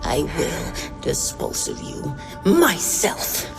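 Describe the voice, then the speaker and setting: An actress playing a stern, cold clan commander in a video game cutscene, imperious and cutting. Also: female voice, low-pitched, huge pitch swings, slow, gravelly, full character voice. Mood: angry, threatening. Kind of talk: dramatic game cutscene acting. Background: loud dramatic music.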